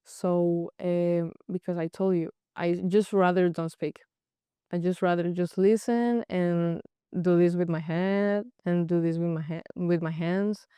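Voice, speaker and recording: A young woman from Colombia with a Colombian Spanish accent, a native Spanish speaker speaking halting English with frequent restarts in a casual interview. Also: on the low side, fairly even intonation, mellow, smooth, no echo, studio quality. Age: young adult. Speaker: guest, college goalkeeper.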